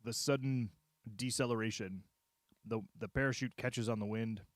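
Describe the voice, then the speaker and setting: A man on a casual livestream, thinking aloud haltingly as he drafts a script, muttering and repeating his phrases. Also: fairly low pitch, fairly even intonation, no room sound. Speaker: streamer writing a visual novel.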